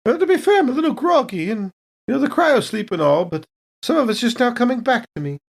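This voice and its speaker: A woman's voice: a little high, very animated, fast, creaky, slightly rough, full character voice. A woman playing a polite, evasive company captain in improvised sci-fi role-play, hesitant and genteel in her phrasing. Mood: puzzled, polite.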